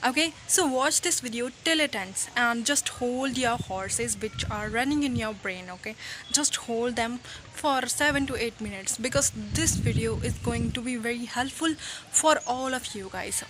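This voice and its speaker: A woman whose first language is Punjabi gives a chatty English lesson in a fairly high voice. She keeps checking in with the listener and stops to explain each idiom. Steady background noise runs under the recording. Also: moderate pace. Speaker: English teacher. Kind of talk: casual spoken English lesson.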